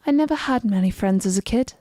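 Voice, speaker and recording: A woman playing a wistful young assassin companion in a fantasy game, with a measured delivery. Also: medium pitch, animated, warm tone, no echo, lightly acted. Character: young female assassin follower, wistful about her sheltered past. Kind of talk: scripted fantasy game dialogue.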